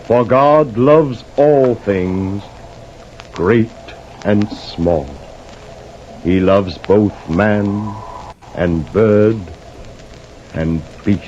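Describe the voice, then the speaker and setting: A holy carpenter back from the dead, deep and dark in tone. He speaks gravely and reverently in a radio play, with a muffled, noisy lo-fi sound. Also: drawn-out words, long pauses. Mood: solemn reverence. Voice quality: deep, strong. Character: deep, solemn carpenter sent back from death, gentle and holy. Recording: muffled lo-fi radio recording, clear noise.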